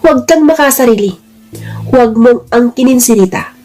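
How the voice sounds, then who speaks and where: A woman's voice: on the high side, moderate pace, dark tone, natural voice. Woman voicing an angry, demanding male kidnapper. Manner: angry, accusing. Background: music.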